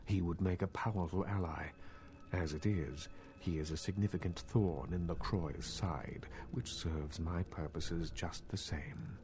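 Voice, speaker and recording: An actor playing a scheming, cultured elder male vampire in a video game, speaking in formal, measured, condescending phrases. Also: sits low, fairly even intonation. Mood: sly, guarded. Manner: formal, measured, courtly speech. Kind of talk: video game character dialogue. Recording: loud game music behind. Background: music.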